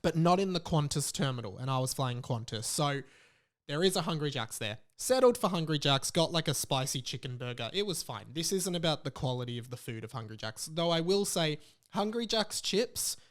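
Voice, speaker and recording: A man with an Australian accent hosts a casual comedy podcast. His voice sits a little high, and he talks in a loose, rambling way with a joking manner. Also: animated, fairly quick. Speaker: podcast host.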